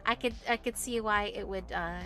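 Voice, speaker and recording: A woman's voice: mid-range voice, moderate pace, sharp tone, faint music behind. A woman from the United States streaming and chatting casually with viewers, talking with lots of fillers and little laughs. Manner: chatty, giggly, many fillers and restarts. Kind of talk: casual chat reading viewer messages.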